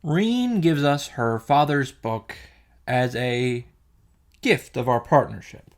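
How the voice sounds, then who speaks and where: A man reads visual novel text aloud and breaks into casual, sweary commentary as a reaction host. His voice sits at a middling pitch, with game music behind it. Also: animated, slow. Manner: sweary, informal.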